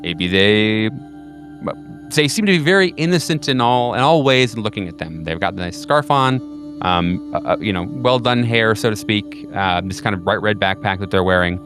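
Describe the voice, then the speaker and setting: Male game master, low voice, rambling delivery, faint music bed. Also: lively intonation, moderate pace. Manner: rambling, lots of fillers and restarts. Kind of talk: narrating a tabletop game.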